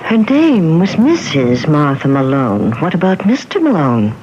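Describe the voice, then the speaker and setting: Muffled, hissy vintage radio. American female police detective, businesslike and persistent, with very lively intonation. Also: low voice, a little slow, full character voice. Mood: probing. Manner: probing, matter-of-fact questioning. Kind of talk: 1970s radio drama acting and first-person narration.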